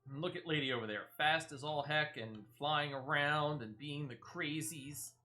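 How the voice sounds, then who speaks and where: A man's voice: fairly high pitch, normal speed, pushed and bright. A man giving casual live gameplay commentary as a streamer, chatty and emphatic, often repeating phrases. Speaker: game streamer.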